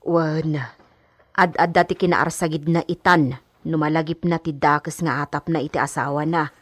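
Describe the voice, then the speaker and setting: An actress with an Ilocano accent playing a gossipy, outspoken hacienda maid in a radio drama. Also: female voice, sits low, steady tone, normal speed, fairly bright tone, no room sound.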